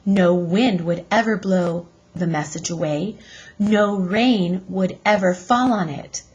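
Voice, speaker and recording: Female read-aloud teacher, unhurried and slightly rough-voiced, telling a chapter book to children with expression. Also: on the low side, muffled recording. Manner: deliberate, expressive storytelling.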